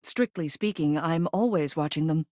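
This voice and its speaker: A woman's voice: sits low, moderate pace, darkish tone, dry recording, clean studio sound, natural voice. A woman voicing a video game character, a living ceiling who is a haughty yet fond arts critic, speaking in an arch, theatrical manner. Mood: arch, amused. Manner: theatrical, arch, drawling emphasis.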